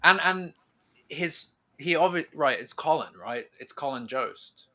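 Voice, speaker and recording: A man's voice: fairly high pitch, expressive, unhurried, sharp tone. A man co-hosting a podcast, chatting informally and with enthusiasm. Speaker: podcast co-host. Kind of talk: casual chat.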